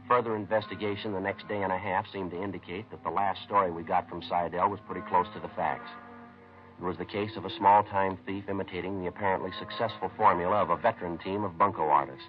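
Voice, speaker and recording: An actor playing a terse, businesslike police sergeant who narrates a 1950s radio crime drama in a deadpan, matter-of-fact way. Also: male voice, low-pitched, fast, close to an everyday voice. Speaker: narrator and lead police detective. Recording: dull vintage radio recording with music.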